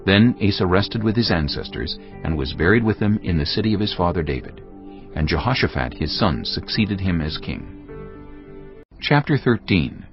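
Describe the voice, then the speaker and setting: Deep, expressive male Bible narrator. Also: moderate pace, muffled recording, over music. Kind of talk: scripted dramatized Bible narration.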